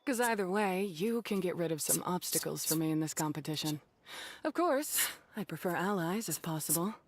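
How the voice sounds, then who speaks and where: A woman's voice: fairly low pitch, lively intonation, moderate pace, slight background noise, lightly acted. A woman playing a blunt, matter-of-fact, self-deprecating beast tamer in a video game. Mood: blunt, businesslike. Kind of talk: English video game voice acting. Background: game music.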